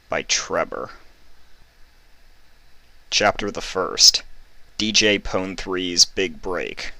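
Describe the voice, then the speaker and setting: A man who reads fan fiction, moving between casual introduction and expressive story narration. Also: deep voice, no room sound.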